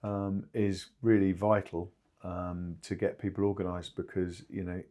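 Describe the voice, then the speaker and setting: A man explaining things haltingly in an informal podcast discussion, as a company spokesman. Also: deep voice, flat delivery, mellow. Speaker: two-way radio company spokesman.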